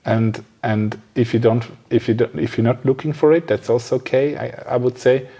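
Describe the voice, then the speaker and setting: A man's voice: medium pitch, little pitch movement, moderate pace, warm tone, a little hiss or hum. A man hosting a casual interview podcast, agreeable, with somewhat hesitant phrasing. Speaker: podcast host.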